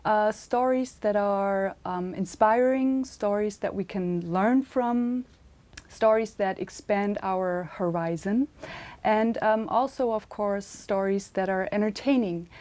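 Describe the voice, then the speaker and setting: A woman hosting an interview series, speaking slowly and deliberately with plenty of "um"s. Her voice is mellow and soft-edged, at a medium pitch, and she keeps the guest going with steady "mm-hmm"s. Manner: slow and deliberate, many "um"s, frequent "mm-hmm" backchannel. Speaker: host.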